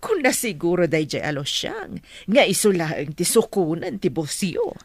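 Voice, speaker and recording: A woman's voice: lowish voice, huge pitch swings, normal speed, no echo, heavily acted. A woman playing an inquisitive, sceptical middle-aged mother in a radio drama, with an Ilocano accent.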